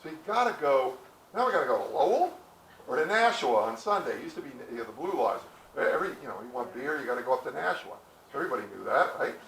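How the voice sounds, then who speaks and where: This is a middle-aged man, speaking in a relaxed, rambling way as he presents to listeners. His voice sits in an ordinary middle pitch and comes from some distance off the mic. The sound is roomy, with a faint wash of outdoor noise behind him. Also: fast talker, creaky. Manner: rambling, folksy, many restarts. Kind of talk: casual storytelling talk to a small audience. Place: outdoor historic site with listeners.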